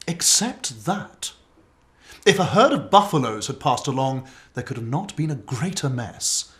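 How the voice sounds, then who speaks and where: An actor playing a sardonic, aloof Victorian detective with dry delivery in a dramatised story reading. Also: male voice, medium pitch, big pitch swings, unhurried, fairly bright tone.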